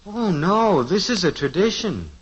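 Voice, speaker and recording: A man's voice: high voice, very animated, a little slow, slightly rough, heavily acted. An actor playing an eager, scheming teenage son in a 1950s radio sitcom, earnest and breezy, fumbling his words. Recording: dull lo-fi old radio transcription.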